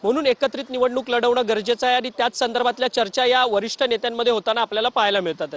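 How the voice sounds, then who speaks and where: Very high-pitched male news correspondent. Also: steady tone, a bit bright. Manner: halting, wordy, repeats phrases. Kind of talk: unscripted live news report.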